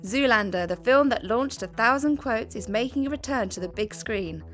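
A woman hosting a daily news show, presenting stories and asking people quick questions. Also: on the high side, animated, faint music behind. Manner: brisk, prompting questions. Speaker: podcast host and interviewer. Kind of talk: news presenting and street interviews.

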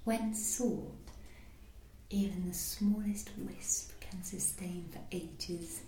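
Female narrator, intimate literary audio piece. Mellow, slightly low voice, hushed and measured. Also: fairly even intonation, slight background noise. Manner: slow, hushed, measured delivery, often near whispering. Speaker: narrator and performer of an audio playlet.

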